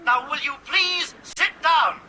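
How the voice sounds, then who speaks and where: An actor playing a stern, commanding airline captain speaking with authority in a raised voice in a radio drama. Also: male voice, extremely high pitch, takes it slowly. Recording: noisy drama scene with crowd clamour.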